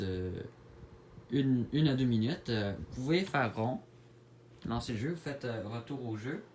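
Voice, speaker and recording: A man with a Québécois French accent giving a casual tutorial to viewers, halting and repeating his words as he goes. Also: medium pitch. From Quebec, Canada. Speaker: gaming video creator. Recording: clear background noise, home-made recording.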